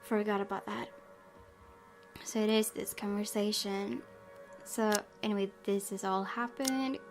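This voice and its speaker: Female let's player, mid-pitched, casual commentary and story reading, soft background music. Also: little pitch movement, measured pace. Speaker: game streamer. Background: faint music.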